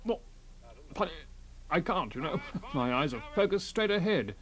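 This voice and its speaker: A man's voice: on the high side, sing-song, normal speed, a bit bright. An actor playing a mild, befuddled husband in his thirties in a radio drama, hesitant and vague. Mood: bemused. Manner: hesitant, vague, faintly amused.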